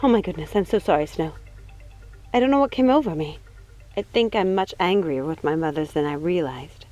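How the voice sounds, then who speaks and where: A woman's voice: on the low side, expressive, fairly quick, darkish tone, faint music behind, natural voice. A woman voicing a worried, protective young witch in an audiobook, speaking earnestly and with care. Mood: apologetic. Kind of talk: audiobook character voice.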